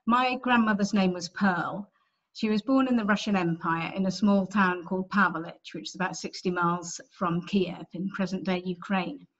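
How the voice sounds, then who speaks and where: A woman's voice: medium pitch, steady tone, normal speed. A middle-aged woman with an English accent giving a virtual book presentation from home, talking conversationally with frequent ums and self-corrections. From England. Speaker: author giving a library talk. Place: home room, indoors. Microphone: webcam on a video call. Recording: slightly dull webcam audio.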